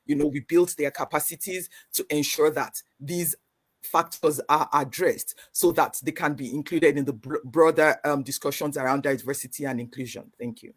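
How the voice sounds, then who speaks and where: A man with a somewhat higher voice, speaking as a panelist on a video call. He is earnest and halting, often restarting his phrases. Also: steady tone, husky. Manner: earnest, many fillers and restarts. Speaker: community organization panelist. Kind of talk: panel conversation answer.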